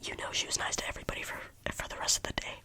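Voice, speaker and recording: A young adult telling a rambling, casual story in a soft ASMR whisper, with a slight lingering cough. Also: racing. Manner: rambling, casual, full of "like" fillers.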